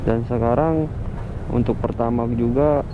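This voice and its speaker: A man's voice: medium pitch, fairly even intonation, measured pace. A man with a colloquial Jakarta Indonesian accent chatting casually and slangily as he vlogs about life as a motorcycle taxi driver. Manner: informal, slangy. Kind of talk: casual rambling vlog chat. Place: outdoors on a motorbike in city streets. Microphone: helmet intercom mic. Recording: muffled, loud engine and street noise.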